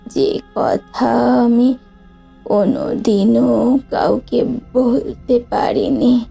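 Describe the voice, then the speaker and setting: Audio-story role: wistful dying grandmother, creaky, dragging her words very slowly, soft music behind. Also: on the high side, fairly even intonation, exaggerated voice. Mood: wistful, pleading. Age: older. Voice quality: husky, creaky. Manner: halting, drawn-out delivery. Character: frail dying grandmother of eighty-two, secretive and wistful. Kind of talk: dramatized audio story acting.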